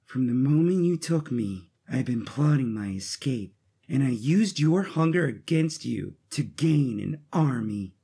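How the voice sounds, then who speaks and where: Man as a husky, creaky young rebel woman. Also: medium pitch, animated, a little slow, mellow, exaggerated voice. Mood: defiant. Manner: terse, resolute. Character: defiant young woman rebel leader, tough, determined.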